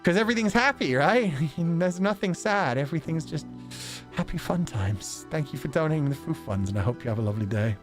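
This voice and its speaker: A man's voice: fairly high pitch, lively intonation, fairly quick, music in the background. A man livestreaming and chatting casually with viewers in a wry, sarcastic way, swearing now and then.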